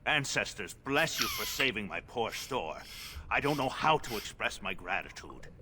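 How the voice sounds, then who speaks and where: An actor playing an anxious, pleading old shopkeeper in a fantasy video game, given to stammering and indignation. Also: male voice, medium pitch, wildly swooping pitch, moderate pace, sharp tone, slight background noise, heavily acted. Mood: grateful. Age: older. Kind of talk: video game character acting.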